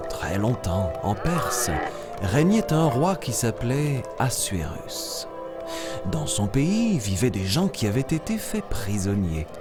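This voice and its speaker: A man playing a kindly, reassuring adult jungle animal who teaches and tells stories in a children's cartoon. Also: mid-range voice. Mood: storytelling. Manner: patient, encouraging, storytelling.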